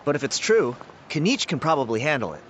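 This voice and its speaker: A man's voice: on the high side, quick pace, noisy background. An actor playing a friendly, laid-back young tribesman in a video game, talking in an easygoing, chatty way. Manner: easygoing, talkative, animated. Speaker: game character. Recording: game audio with loud music.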